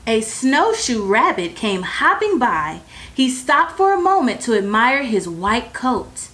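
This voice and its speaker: Slow, lively woman reading a storybook. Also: fairly high pitch. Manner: expressive, deliberate phrasing for young listeners. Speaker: storyteller reading a picture book aloud. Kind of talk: read-aloud children's bedtime story.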